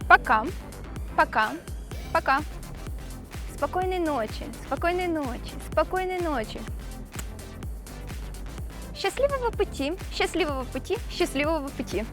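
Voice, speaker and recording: A woman teaching phrases in a slow lesson style, deliberately repeating each one. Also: high voice, a bit bright. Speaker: language teacher demonstrating phrases.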